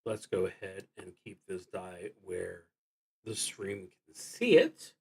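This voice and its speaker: Slow, halting man thinking aloud. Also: low-pitched, lively intonation, gravelly, noisy background. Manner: halting, deliberative, often trailing off and restarting. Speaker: solo roleplaying game streamer. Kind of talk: unscripted livestream play-through, thinking aloud.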